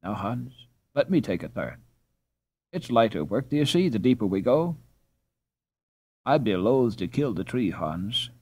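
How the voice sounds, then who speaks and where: A man's voice: mid-range voice, very animated, measured pace, long pauses, darkish tone, breathy edge. A man voicing a middle-aged peasant mother in a storybook, practical and fond, with old-fashioned exclaiming turns of phrase. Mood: coaxing.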